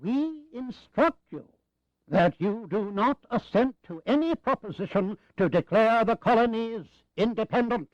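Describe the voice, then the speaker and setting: An actor playing an elderly, wry American statesman in an audio drama, speaking deliberately and sardonically. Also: high-pitched, animated, no room sound, lo-fi sound. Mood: amused.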